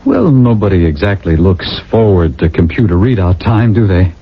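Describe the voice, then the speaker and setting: A nervous, hesitant subject of a regimented future, with a rough, lowish voice and very animated pitch. He stammers his way through radio drama dialogue on a dull, aged recording. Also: moderate pace, full character voice. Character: nervous, meek male citizen of a regimented future. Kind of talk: 1980 radio drama acting.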